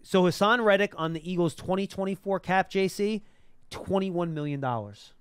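A man's voice: high-pitched, moderate pace, clean tone, no echo. A man hosting live sports talk, explaining things emphatically into a close studio mic. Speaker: sports talk show host. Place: small indoor broadcast studio. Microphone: close broadcast mic on boom arm.

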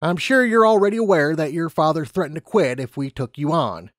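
A man's voice: a little high, lively intonation, brisk, no echo, clean studio sound, pitched above the performer's own voice, lightly acted. A man voicing a stern, disdainful aging female unicorn personnel officer in a storybook reading, formal and condescending. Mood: matter-of-fact.

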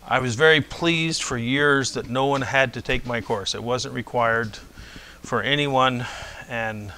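Older guy professor rambling through a class talk, bright edgy voice, lapel mic, faint hiss. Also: mid-range voice. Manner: conversational, rambling, checks in with "okay?". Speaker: university professor. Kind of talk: classroom lecture. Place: large lecture hall with blackboards.

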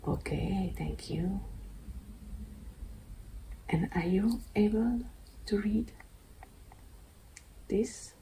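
A woman whispering softly and breathily in an ASMR eye exam roleplay, gently giving instructions close to the microphone. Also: medium pitch, gravelly, a little hiss or hum, rough recording. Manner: slow, soft, gently instructing. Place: small indoor studio with dark backdrop.

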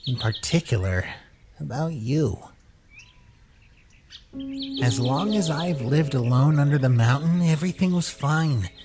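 An actor voicing a small, brooding talking flower in a comic dub, weary, somber and halting. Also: mid-range voice, lively intonation, background noise. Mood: confessing. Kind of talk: scripted comic dub acting.

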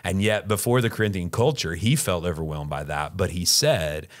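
Brief: low-voiced middle-aged male pastor host, easygoing, questioning podcast talk. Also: normal speed. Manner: reflective, leans on "right?" as a tag question. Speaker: pastor hosting a sermon discussion podcast. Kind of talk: casual podcast conversation. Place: small dark studio room. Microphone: desk mic on a boom arm.

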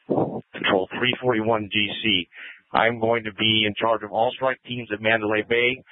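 Male American police commander, lowish and monotone, procedural delivery through a radio. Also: moderate pace, dry recording. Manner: procedural, declarative. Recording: narrow, tinny police scanner radio.

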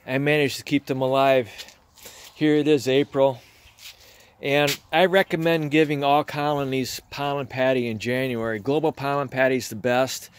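A man's voice: mid-range voice, steady tone, normal speed, a little hiss or hum. A man beekeeper talking casually and plainly while showing his hives, recorded on a handheld phone in a garage. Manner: plainspoken, chatty, explains as he works. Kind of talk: casual hands-on demonstration.

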